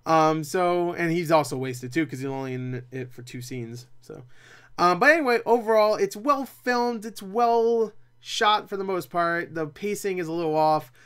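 A young man reviews movies in a voice pitched a little above average. He talks casually and without a script, rambling along with animated asides and a self-deprecating streak. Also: moderate pace, smooth. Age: young adult. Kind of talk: casual unscripted review chat. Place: small cluttered room at home, indoors.

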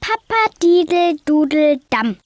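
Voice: sits high, sing-song, slow, no echo, full character voice. A performer voicing a secretive, playful little girl animal in a German-dubbed preschool cartoon, with a conspiratorial childlike manner. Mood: earnest. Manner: conspiratorial, childlike, often hushing.

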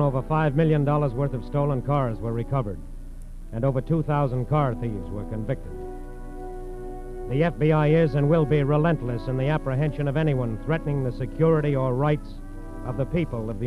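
A man's voice: mid-range voice, moderate pace, music in the background. Tinny, grave 1940s American radio narrator, male. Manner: grave, authoritative, clipped oratory. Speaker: narrator of a crime drama. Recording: tinny band-limited 1940s radio recording.

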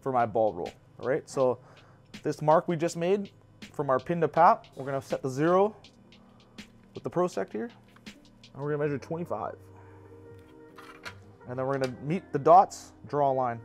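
Shop instructor, man in his 30s, lapel mic, easygoing practical delivery, light pitch. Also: moderate pace, pauses often, faint music behind. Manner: relaxed, practical, personal asides. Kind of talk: casual how-to explanation. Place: bowling pro shop indoors.

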